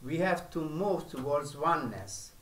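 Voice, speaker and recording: Slow-speaking male spiritual teacher, echoey. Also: on the high side, expressive, a bit bright. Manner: slow, deliberate delivery with long pauses.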